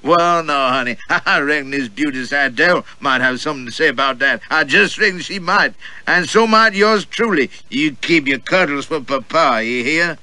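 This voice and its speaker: Man putting on a loud, bigoted old blowhard. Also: on the high side, expressive, normal speed, no echo, pitched above the performer's own voice. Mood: blustering. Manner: boastful, drawling, guffawing. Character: loud boorish portly older gentleman, bigoted.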